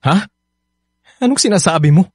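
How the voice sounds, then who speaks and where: An actor playing an evasive, complaining husband in a Filipino radio drama, defensive and whining. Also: male voice, sits high, lively intonation, moderate pace, breathy edge, dry recording, studio quality. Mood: uneasy, pleading. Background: background music.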